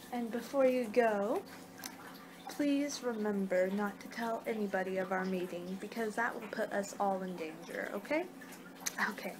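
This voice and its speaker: A young woman doing an ASMR card-reading role-play with a halting, unhurried delivery. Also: mid-range voice. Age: young adult. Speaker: ASMR performer in a fortune-teller role-play. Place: small home room, indoors. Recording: noisy amateur webcam-style room recording.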